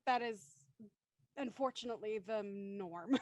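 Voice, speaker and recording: A woman's voice: mid-range voice, measured pace, dry recording. A woman hosting a live panel discussion, speaking in an emphatic, articulate way. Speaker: host of a live show discussion.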